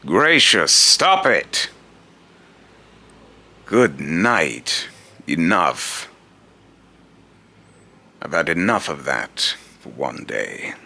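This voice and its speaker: A man acts out a menacing god of fear from a video game, in an extremely low male voice. He speaks slowly, with a gravelly creak and a pompous, scornful, taunting air. The delivery is theatrical and grandiose. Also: sing-song, sharp tone, full character voice. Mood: exasperated. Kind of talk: rehearsed video game villain lines.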